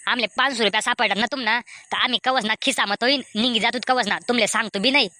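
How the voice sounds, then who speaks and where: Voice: mid-range voice, quick pace, pushed and bright, no room sound, studio quality, natural voice. A performer voicing a blunt, irritable village man in a comedy cartoon, with a Khandeshi Ahirani accent. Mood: irritated denial.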